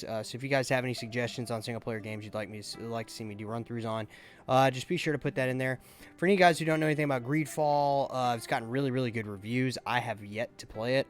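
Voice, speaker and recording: Man doing casual game playthrough commentary. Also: medium pitch. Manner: halting, thinking aloud. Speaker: gaming YouTuber.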